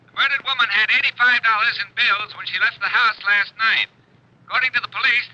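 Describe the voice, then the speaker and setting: An actor playing a brisk, urgent newsreader heard through a radio speaker in a 1940s drama. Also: male voice, sits high, sharp tone. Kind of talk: scripted 1940s news bulletin. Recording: thin, muffled old radio sound.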